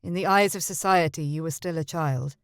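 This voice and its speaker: A woman voicing a young gentleman suitor in an audiobook, speaking calmly and softly. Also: low voice, brisk, clean tone, no room sound, clean studio sound, pitched below the performer's own voice. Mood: tender. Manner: calm, even, soft-spoken. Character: earnest young gentleman suitor, calm and tender. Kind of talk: audiobook character voice.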